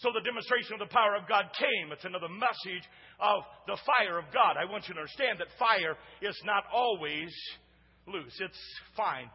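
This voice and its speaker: A male pastor with a big booming voice and a high-pitched, husky edge, preaching in a church sanctuary. He drops to hushed asides, then builds to shouts while prompting the congregation. The sound is dull and muffled, with long reverb trailing behind him. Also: moderate pace. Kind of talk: sermon.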